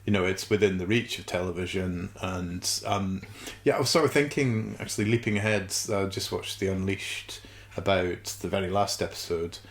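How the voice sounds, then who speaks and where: A man's voice: deep voice, moderate pace. A man co-hosting a podcast, chatting casually about a TV show and thinking aloud in a tentative way. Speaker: podcast co-host. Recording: roomy, echoing sound.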